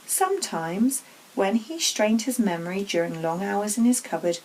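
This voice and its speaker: A woman's voice: mid-range voice, expressive, moderate pace, darkish tone, poor audio. A woman narrating a children's storybook aloud as a teacher would to pupils.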